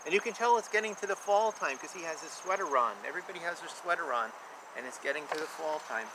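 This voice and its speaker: A man in his fifties reads a picture book aloud in a lively, slightly high voice that swings up and down. He explains things simply and emphatically, repeating himself for young children. He is recorded outdoors, with clear background noise and insect chirps. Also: fast. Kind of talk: read-aloud storytelling with explanatory asides. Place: outdoor backyard beside a beehive. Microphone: camera at about arm's length.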